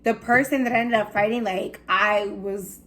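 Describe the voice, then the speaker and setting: A young woman hosting a casual chat, telling lively stories with a loud voice and frequent laughs. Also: mid-range voice, a little slow, slight background noise. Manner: animated storyteller, laughs often, loud voice. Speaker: host.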